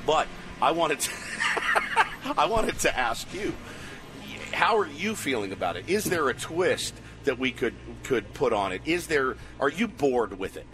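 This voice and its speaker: A man hosting a morning sports radio show, bantering casually in an excitable, joking, exaggerating way. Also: mid-range voice, measured pace.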